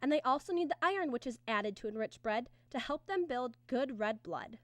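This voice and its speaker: High-voiced woman reading a vintage radio commercial. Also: animated, fairly quick, dry recording. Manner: earnest, emphatic sales pitch. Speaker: radio sponsor announcer. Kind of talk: scripted 1950s radio advertisement.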